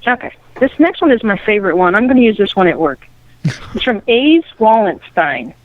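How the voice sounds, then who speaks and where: A woman's voice: medium pitch, sing-song, moderate pace, no room sound. A middle-aged woman guesting on a podcast, reading comments aloud and chatting casually over dull, radio-like audio. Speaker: guest reader, a host's mother.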